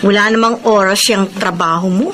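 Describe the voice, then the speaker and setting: An actress playing a worried, suspicious, nagging wife with a Filipino accent in a radio drama. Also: female voice, mid-range voice, steady tone, brisk, noisy background, lightly acted.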